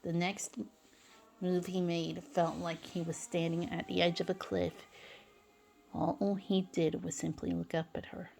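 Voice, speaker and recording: Low-pitched, creaky woman reading a story. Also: flat delivery, darkish tone, soft background music. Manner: halting, stumbles over words. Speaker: fan fiction reader. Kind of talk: dramatic story narration.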